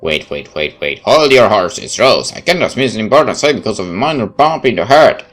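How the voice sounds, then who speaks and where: A man's voice: fairly low pitch, very animated, fairly quick, a bit bright. A man playing through a game, reading its text aloud and adding sarcastic asides. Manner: sarcastic, interjecting quips. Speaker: let's player.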